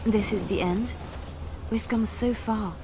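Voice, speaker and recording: A woman's voice: mid-range voice, takes it slowly. A woman playing a brave, devoted romantic companion in a fantasy game, speaking earnestly and poetically. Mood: earnest, resolute. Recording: clear background ambience noise.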